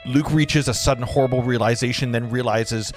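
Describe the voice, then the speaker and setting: A man's voice: mid-range voice, fairly even intonation, a little fast, soft background music. A man reading screenplay scene directions aloud as the narrator of a table read.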